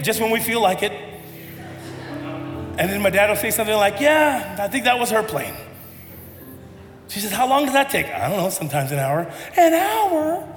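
A man preaches from the pulpit with a high voice. He tells a story and then builds to an impassioned appeal. His words ring through a large hall with a long echo, and faint music plays underneath. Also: a bit bright. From United States. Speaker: pastor. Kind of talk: sermon. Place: church hall.

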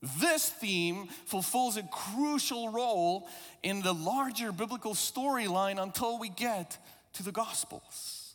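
A man's voice: high-pitched, animated, slow, a bit bright, big-room sound. A man from South Africa, English not his first language, preaching a sermon in a reflective, conversational way with a few self-deprecating asides. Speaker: pastor.

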